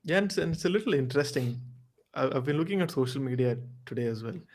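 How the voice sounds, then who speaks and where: Man, medium pitch, measured delivery, explanatory, casual sports podcast panelist. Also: animated, darkish tone. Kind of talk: casual motorsport analysis.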